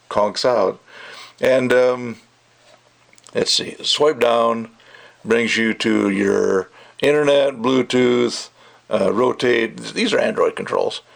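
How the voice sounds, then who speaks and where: A man's voice: lowish voice, vocal fry. A man giving a casual, rambling and opinionated product review, talking off the cuff.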